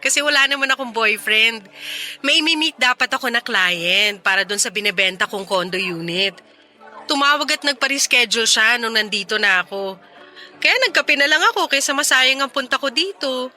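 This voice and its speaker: A woman's voice: on the high side, moderate pace, pushed and bright, faint music behind. An actress playing a wistful young woman in a Tagalog radio drama, teasing and conversational as she talks with an old flame. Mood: playful, coy. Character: Mela, wistful young woman reunited with her ex.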